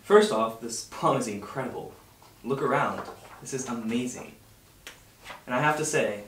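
A young man playing a heartfelt, lovesick teenage boy in a script read-through, halting and earnest. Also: mid-range voice, fairly bright tone, natural voice. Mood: earnest, excited. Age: young adult. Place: home dining room around a table. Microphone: far camera. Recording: roomy, distant mic, clear background noise.

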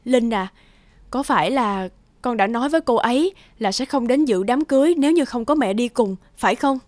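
A woman's voice: a little high, brisk, a little mellow, no room sound, lightly acted. A woman voicing a loving, apologetic mother in a cartoon. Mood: tender, apologetic. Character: loving, apologetic mother who lives abroad. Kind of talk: cartoon voice acting.

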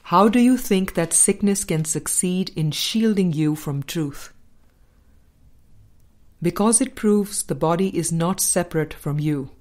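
A woman with a slightly low voice reads a spiritual book as scripted narration. Her pace is very slow and deliberate. She leaves measured pauses between phrases. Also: darkish tone. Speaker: audiobook narrator.

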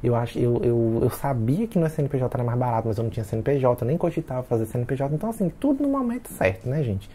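Chatty young man with a husky voice. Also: medium pitch, very animated, quick pace, few pauses, warm tone. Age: 20s. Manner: chatty, keeps addressing viewers as "gente". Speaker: vlogger. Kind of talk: casual chat to camera.

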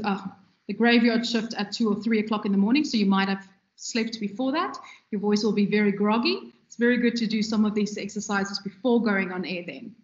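A woman giving a measured, instructive lecture on radio presenting, with a trained, loud voice and frequent ums. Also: medium pitch. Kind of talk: recorded lecture. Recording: echoey, dull lo-fi room recording.

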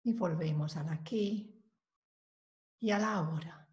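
A woman leading a guided meditation, giving calm, measured instructions with long pauses between phrases. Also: lowish voice. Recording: roomy, echoing voice recording.

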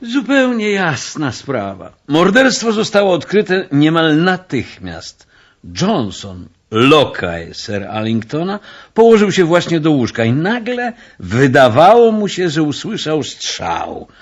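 Brusque police inspector, lively slow delivery. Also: male voice, a little high, dull audio, exaggerated voice. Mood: smug, confident. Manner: commanding, condescending, drawn-out emphatic delivery. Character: brusque, self-assured male police inspector. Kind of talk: radio play acting.

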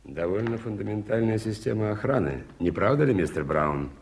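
An actor playing a polite, well-informed gentleman in a Soviet radio play, speaking in a measured, explanatory way. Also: fairly low pitch, lively intonation. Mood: earnest, explanatory. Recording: noisy 1980s radio play recording.

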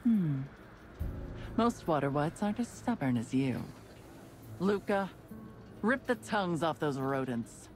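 A woman voicing a cold, ruthless young gang leader who interrogates captives in a slow, measured, commanding way. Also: low voice, animated, darkish tone. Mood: menacing. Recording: game voice with faint music.

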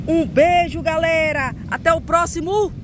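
Woman, high playful sing-song voice, kids' toy host, phone recording outdoors, traffic noise. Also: a little slow. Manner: animated, sing-song, with sound effects. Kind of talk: playful narration of toy play for children. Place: outdoors on grass in a beach park.

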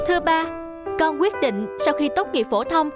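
Woman as a high-voiced, earnest teenage son. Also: animated, normal speed. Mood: pleading, determined. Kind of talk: cartoon dubbing. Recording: echoey voice under loud music.

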